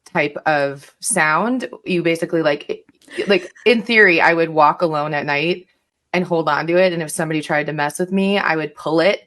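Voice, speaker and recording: Woman, very low pitch, podcast guest, casual storytelling, informal, playful exaggeration. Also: moderate pace, fairly bright tone.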